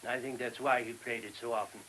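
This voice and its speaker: A man acting a gentle, precise abbot speaking reflectively in a radio play. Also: medium pitch, flat delivery, fast talker, a little hiss or hum, lightly acted, low-quality recording. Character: gentle, grave male abbot of a monastery.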